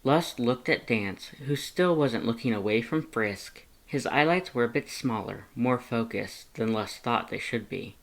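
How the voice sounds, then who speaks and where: Fan fiction audiobook narrator, mid-pitched voice, scripted storytelling. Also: unhurried, creaky, few pauses.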